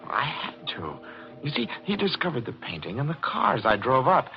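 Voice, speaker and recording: An actor playing a deranged art dealer's clerk, a polite and obsessive killer, in 1940s radio suspense style. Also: male voice, mid-range voice, wildly swooping pitch, moderate pace, gravelly. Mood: cold menace. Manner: polite menace, theatrical. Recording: muffled, noisy old radio recording.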